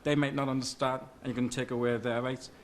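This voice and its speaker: A man's voice: mid-range voice, level tone, fast, slight background noise. A man making a blunt point from the audience. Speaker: audience member.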